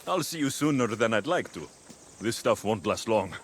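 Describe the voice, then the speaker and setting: A man performs a gentle, wry Greek warrior in a video game cutscene. His voice is low and very expressive in its melody, with a Greek accent and an understated, dry delivery. Outdoor insect ambience sits faintly behind him. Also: normal speed, a little hiss or hum, lightly acted. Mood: wry, friendly. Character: Alexios, tired young Greek warrior father, gentle and wry.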